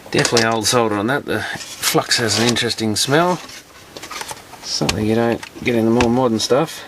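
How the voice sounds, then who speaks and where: A man's voice: mid-range voice, fairly quick. A man giving casual hands-on repair commentary, muttering and thinking aloud as he works. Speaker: electronics repair hobbyist presenting a teardown. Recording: clear background noise with clicks.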